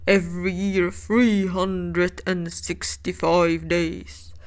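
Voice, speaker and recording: A woman voicing a huge, dim, blustering male giant in a storybook reading, slow and loud. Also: on the low side.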